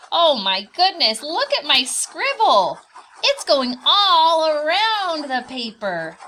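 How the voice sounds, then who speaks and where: A woman's voice: sits high, a bit bright, a little hiss or hum. A woman preschool teacher leading a children's art lesson step by step, speaking in simple, child-directed language with encouraging questions and repeated phrases.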